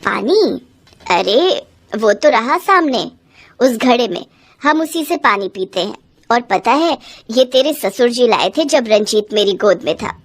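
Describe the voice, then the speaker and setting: A woman's voice: fairly high pitch, expressive, measured pace. A woman voicing a stingy, fussy older mother-in-law in a cartoon story, grumbling and full of complaining exclamations.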